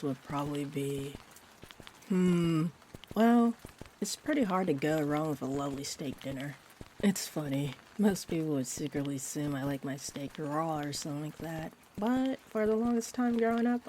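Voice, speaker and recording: A woman acts out a sweet, bashful female vampire in a roleplay audio, her voice notably low for a woman. She rambles in a gentle, hesitant, intimate way. A faint wash of rain sits behind her. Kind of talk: roleplay audio, intimate one-sided chat. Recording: steady rain-like ambient noise. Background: rain ambience.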